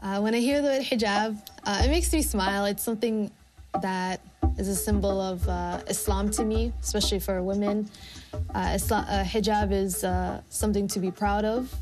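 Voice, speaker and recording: A woman's voice: medium pitch, a little mellow. A young woman from Somalia who works as an HIV outreach coordinator, speaking thoughtfully with frequent fillers. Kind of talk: documentary interview and voice-over. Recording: voice-over with music underneath.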